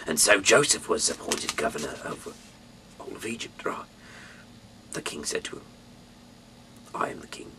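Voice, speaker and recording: A man from the UK reading scripture aloud in a dramatic, halting way, swinging between emphatic bursts and breathy, hushed delivery. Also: deep voice, a little hiss or hum, poor audio. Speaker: Bible reader, amateur narrator.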